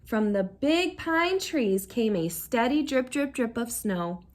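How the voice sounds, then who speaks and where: Animated high-voiced woman reading a storybook. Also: slow. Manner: expressive, prompts listeners with questions. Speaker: teacher reading a picture book aloud to children. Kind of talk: animated storybook read-aloud with asides to listeners.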